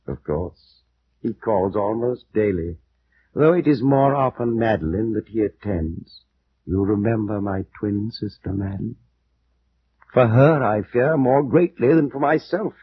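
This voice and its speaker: An actor playing an ailing, nervous gentleman who swings between frenzy and gloom in 1940s radio style. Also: on the low side, lively intonation, a little slow, long pauses, breathy edge. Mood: gloomy. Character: ailing, haunted, nervous gentleman, feverishly excitable. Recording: muffled, noisy old radio recording.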